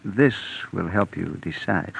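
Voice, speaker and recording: An American actor playing a slick, pushy schemer with a brisk, menacing manner, in 1940s radio mystery style. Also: male voice, low-pitched, vocal fry, husky, no echo, full character voice. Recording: tinny, narrow 1940s radio recording.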